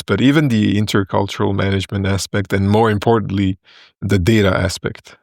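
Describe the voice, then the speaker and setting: A man in his forties from Ethiopia, a consultant guest explaining his work in a podcast interview, in short measured phrases with fillers. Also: lowish voice, no echo, clean studio sound.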